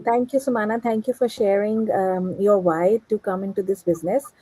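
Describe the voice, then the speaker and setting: A woman's voice: mid-range voice, steady tone, normal speed, mellow, slightly rough, dry recording, rough recording. A woman co-hosting a live online interview over a video call, asking questions in an encouraging way. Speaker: co-host.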